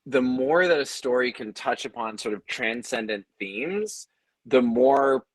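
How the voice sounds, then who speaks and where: A man speaking as an audio drama creator in a conversational panel discussion, thinking aloud in a halting, thoughtful way with repeated words. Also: mid-range voice, animated, no echo.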